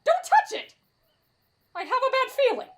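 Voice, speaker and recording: A woman's voice: sing-song, moderate pace, fairly bright tone, heavily acted. A woman voicing an anxious, cowardly, whiny young man with dramatic exclamations in an anime fan audio roleplay. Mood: alarmed. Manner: shrill, dramatic, shrieking exclamations.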